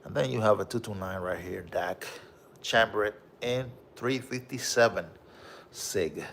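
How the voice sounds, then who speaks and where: Deep puerto rican guy chatting on a livestream, laid back, says bro a lot, faint hum behind him. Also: unhurried, gravelly. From Puerto Rico. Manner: relaxed, repeats "bro" and "okay?", many fillers. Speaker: livestream host showing his gun collection. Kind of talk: casual livestream chat reading viewer comments.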